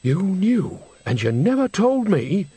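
A man's voice: high voice, big pitch swings, slow pace, warm tone, slightly rough, no echo, pitched above the performer's own voice, heavily acted. A man reading aloud and voicing an earthy, cheeky old woman witch who talks bluntly. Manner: blunt, exclaiming.

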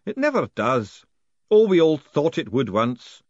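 A man's voice: on the high side, wildly swooping pitch, measured pace, dry recording, highs cut off, voice pushed up, full character voice. A man voicing a fatalistic old lodge master who talks in a weary, mournful way. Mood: gloomy, resigned.

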